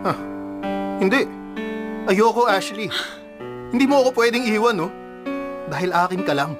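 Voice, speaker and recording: A man's voice: sits high, lively intonation, moderate pace. An actor playing a sulky, defiant young man in a radio drama, with music behind his voice. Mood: pleading, possessive. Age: young adult. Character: sulky, defiant, possessive young son and boyfriend. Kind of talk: radio drama acting and narration.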